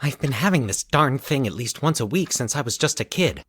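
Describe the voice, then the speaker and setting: A man's voice: medium pitch, lively intonation, fairly bright tone, slightly rough, no room sound, studio quality, voice pushed up. A man acting a nervous man haunted by nightmares. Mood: nervous, hesitant. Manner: nervous, halting, stumbling over his words. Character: anxious man confessing a recurring childhood nightmare. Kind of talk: dramatized horror audio fiction.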